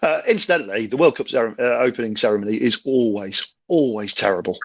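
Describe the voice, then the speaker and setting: A man's voice: medium pitch, fast, no echo. A man co-hosting a casual football podcast over his phone, full of self-deprecating, jokey asides. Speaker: podcast co-host. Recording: dull phone recording.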